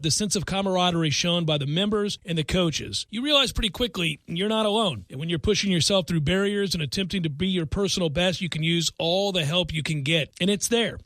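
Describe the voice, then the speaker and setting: A man giving a sincere, measured testimonial in a radio ad. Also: a little high, fairly bright tone, no room sound. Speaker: gym member testimonial. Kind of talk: scripted ad testimonial.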